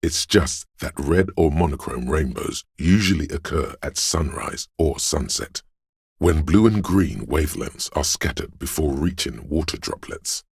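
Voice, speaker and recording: Very deep, husky, pedantic encyclopedia voice. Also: male voice, animated, dry recording, full character voice. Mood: dry, pedantic. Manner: erudite, measured, matter-of-fact lecturing with dry asides. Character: learned, pedantic inner voice of encyclopedic trivia in a detective's mind. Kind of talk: scripted video game narration of trivia.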